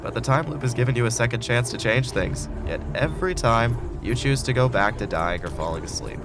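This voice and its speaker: A man's voice: mid-range voice, lively intonation, brisk, noisy background, lightly acted. A man acting in an audio drama as a wronged, resolute man who outwits his tormentor in a time loop. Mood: accusing. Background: loud music bed.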